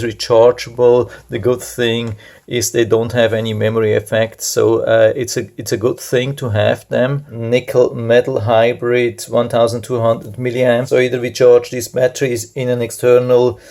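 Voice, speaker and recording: Creaky, even-toned man reviewing a product. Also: mid-range voice. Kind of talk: unscripted hands-on product demonstration.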